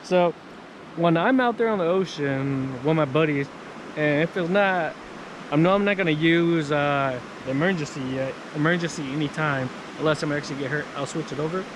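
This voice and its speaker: Casual male tutorial vlogger, higher register, stop-start and repetitive delivery, noisy open-air recording near water. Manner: halting, repeats himself, casual. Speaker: vlogger demonstrating handheld radios. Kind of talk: informal unscripted tutorial. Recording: noisy outdoor wind and water ambience.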